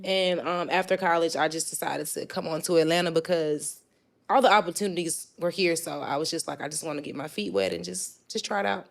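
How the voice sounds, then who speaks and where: A young woman from Mississippi, a guest telling stories in a relaxed chat. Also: lowish voice, a little fast, creaky. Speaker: podcast guest. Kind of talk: casual chat.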